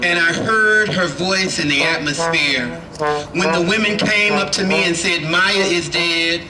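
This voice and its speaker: A man's voice: high voice, a little slow, a crowd in the background. A man performing spoken word poetry over live music, with a rhythmic, incantatory delivery and chanted refrains, on an outdoor park terrace. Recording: echoey outdoor stage with loud live band. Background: live instruments playing.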